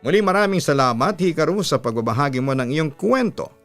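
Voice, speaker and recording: A Filipino man working as a radio host, reading listener letters and giving advice in a measured, earnest delivery. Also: a little high, lively intonation, a bit bright, dry recording. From Philippines. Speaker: radio announcer and story channel host. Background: background music.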